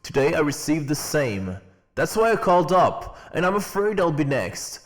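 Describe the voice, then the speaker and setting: A man's voice: on the high side, big pitch swings, moderate pace, slight background noise. A man voicing a frightened businessman in a comic-book drama, anxious yet formal in his speech. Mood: fearful. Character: frightened male businessman fearing for his life.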